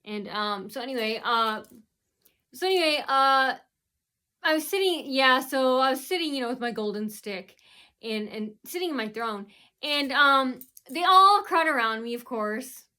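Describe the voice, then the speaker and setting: A woman from the United States rambles through a casual vlog monologue, her voice bright and forceful and pitched a little high. She fills every gap with um and uh and keeps starting over with "so anyway," drifting from point to point. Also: lively intonation, unhurried. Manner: meandering, keeps restarting with "so anyway"; heavy fillers, um and uh. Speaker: vlogger.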